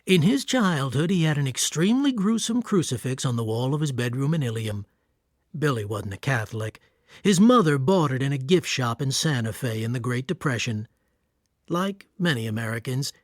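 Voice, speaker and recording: A man narrating a radio drama from a script in a measured, wry, literary way. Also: medium pitch, lively intonation, dry recording, studio quality, close to an everyday voice.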